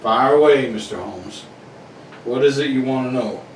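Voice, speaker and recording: A man's voice: mid-range voice, moderate pace, close to an everyday voice. A man playing a proud, defensive wealthy husband with a blunt, formal manner in a radio play. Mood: guarded. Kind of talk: amateur radio-play acting. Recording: roomy, distant recording with faint noise.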